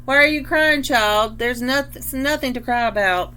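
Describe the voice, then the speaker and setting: Woman reading as a high-voiced, fond old grandfather. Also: unhurried, sharp tone, slight background noise, rough recording. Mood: gentle. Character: old grandfather, fond, nostalgic, gently reminiscing. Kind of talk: storybook read-aloud.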